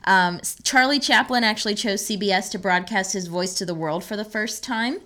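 A woman's voice: medium pitch, brisk, pushed and bright. A woman co-hosting a podcast in a casual, chatty style, conversational and given to playful asides. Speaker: podcast co-host, archives manager.

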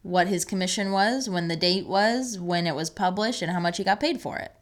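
A woman's voice: lowish voice, brisk. A woman co-hosting a podcast in casual, conversational talk. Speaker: podcast co-host. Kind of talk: casual chat.

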